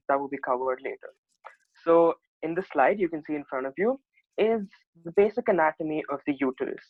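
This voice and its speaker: A man's voice: a little high, no echo. A man giving an explanatory lecture as a presenter, heard over an online call. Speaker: presenter teaching anatomy. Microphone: online video call mic. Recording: thin phone-like online call audio.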